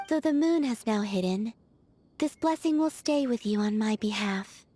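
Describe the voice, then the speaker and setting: A woman voicing a gentle, naive young moon goddess in a video game, with a soft, airy, delicate voice and a serene, measured, innocently earnest delivery. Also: fairly high pitch, lively intonation, dry recording. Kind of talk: scripted video game character dialogue. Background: background music.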